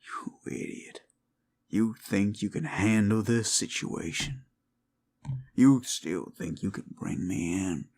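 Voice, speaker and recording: Gravelly Southern werewolf outlaw. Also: male voice, lowish voice, darkish tone. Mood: strained, ominous. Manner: strained, slow drawl with heavy breathing. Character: menacing Southern cowboy outlaw turning into a feral werewolf. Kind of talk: dramatic audio roleplay spoken to the listener.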